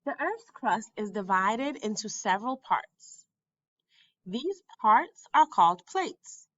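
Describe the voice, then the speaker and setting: A woman reading a children's science book aloud with deliberate, emphatic phrasing, like a teacher for young learners. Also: medium pitch, big pitch swings, a bit bright, highs cut off. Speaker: school staff member reading a textbook aloud.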